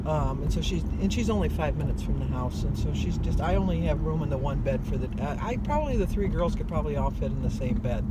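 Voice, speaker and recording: An older woman talks to her camera from the car, rambling along and often correcting herself mid-sentence. Loud road and engine noise rumbles under her voice throughout. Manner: chatty, rambling, lots of ums and self-corrections. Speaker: vlogger. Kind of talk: casual chat.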